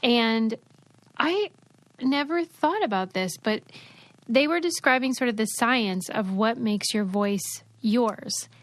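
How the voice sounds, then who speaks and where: A woman's voice: a little high, animated, slow pace, dry recording. A woman co-hosting a podcast in casual chat, chatty and easygoing. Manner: chatty, reads ad copy. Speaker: podcast co-host.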